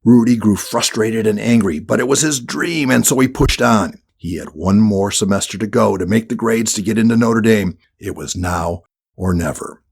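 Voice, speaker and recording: A man's voice: fairly low pitch, moderate pace, vocal fry, husky. A man reading a scripted children's audiobook as the narrator.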